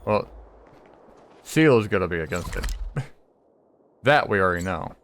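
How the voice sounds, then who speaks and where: A man's voice: low voice, lively intonation, a little slow, a bit bright, slight background noise. A man giving casual, opinionated and blunt game playthrough commentary, with swearing. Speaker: gaming streamer.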